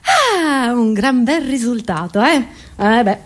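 A woman's voice: medium pitch, animated, normal speed. A woman comedian performing a satirical, conversational monologue in a theatrical way, often interrupting herself with rhetorical questions.

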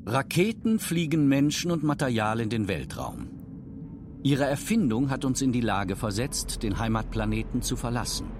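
A man's voice: medium pitch, very animated, moderate pace, background noise. A man narrating a scripted documentary in formal, complete sentences.

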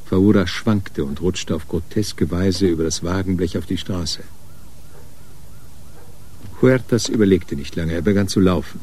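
Low, mellow male crime narrator. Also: a little slow, pauses often, slight background noise. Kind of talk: scripted crime drama narration.

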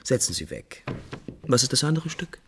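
A man playing an impulsive, refined, lovesick prince in 1950s German radio drama, theatrically shifting between musing and brisk command. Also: mid-range voice, very animated, sharp tone, slight background noise. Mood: animated, critical. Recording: 1950s radio recording with music behind.

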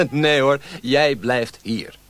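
An actor playing a swaggering, mocking caravan guide in a radio play, taunting and sneering. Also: male voice, mid-range voice, extremely slow, background noise.

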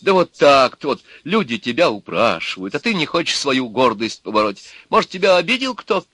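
A coaxing middle-aged housewife, played by a male reader, pleading in a high, lilting voice. She talks over a bright, tight tone in a dry recording. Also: sing-song, normal speed, no echo.

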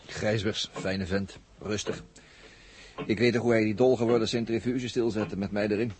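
An actor playing a calm male astronaut crewmate in a 1960s radio drama. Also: on the low side, moderate pace, a little hiss or hum, close to an everyday voice. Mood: calm, reflective.

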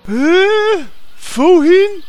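A man's voice: very high-pitched, lively intonation, drawn-out words, darkish tone, soft background music, exaggerated voice. A man playing a dim, naive, easily confused adventurer in a comedic fantasy audio drama, hesitant and polite. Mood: puzzled.

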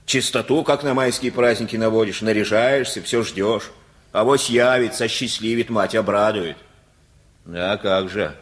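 A man's voice: lowish voice, normal speed, close to an everyday voice. An actor playing a garrulous, teasing middle-aged working man in a Russian radio play, with a folksy, needling manner. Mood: mocking. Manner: folksy, needling, chuckling, repeats words.

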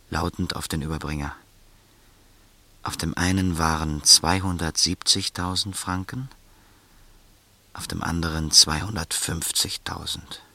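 A man's voice: low-pitched, creaky, bright, forceful tone, dry recording. A man narrating a radio drama in the first person, tense and brooding. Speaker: narrator and protagonist.

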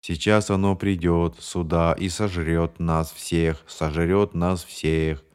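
A deranged man droning in a hollow, toneless voice, low and dragging, as a male reader puts him on. Every word comes out flat and lifeless in this storybook horror. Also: clear, resonant tone. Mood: eerie monotone. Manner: slow, flat, toneless chanting.

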